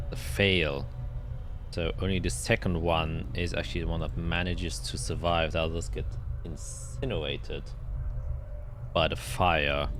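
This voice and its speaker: A man speaking casually and colloquially as the game master of a tabletop roleplaying game, narrating the action. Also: a bass voice. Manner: colloquial, swearing. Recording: music playing behind the voice. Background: game background music.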